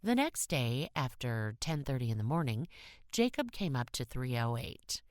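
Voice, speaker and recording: Woman reading an audiobook story, really low voice, moves around a lot, dry close sound. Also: sing-song, moderate pace, no echo. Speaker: audiobook narrator. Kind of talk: scripted short story narration.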